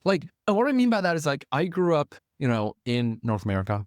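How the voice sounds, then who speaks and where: Guy from taiwan doing a solo podcast, mid pitched voice, chatty with lots of um and you know. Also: very animated, brisk, dry recording, clean studio sound. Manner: casual, conversational, many fillers and restarts. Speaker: podcast host and author.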